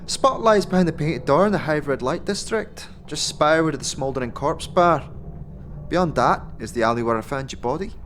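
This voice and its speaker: A woman's voice: deep voice, expressive, normal speed, slight background noise. A woman playing a brusque, streetwise young tiefling guide in a fantasy audio drama, with curt, slangy, sardonic delivery. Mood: impatient, wary.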